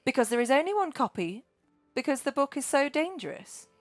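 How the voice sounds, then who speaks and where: Woman doing a scolding old dragon lady, storybook, big pitch swings. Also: sing-song, brisk, no room sound, soft background music. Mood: brisk. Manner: brusque, scolding, fussy. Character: cranky centuries-old female dragon bookkeeper.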